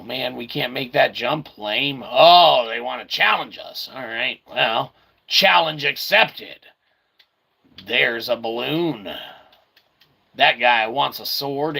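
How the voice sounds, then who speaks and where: A man's voice: medium pitch, creaky, pushed and bright. A man giving casual video game commentary in a goofy way, with wordy catchphrases and mock-formal asides. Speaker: video game streamer, reviewer. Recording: very noisy, game sound behind.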